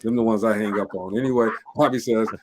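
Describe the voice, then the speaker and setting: A man hosting a casual livestream, reading out viewer comments in a relaxed way. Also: lowish voice, fast talker, slight background noise. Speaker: host reading live chat comments.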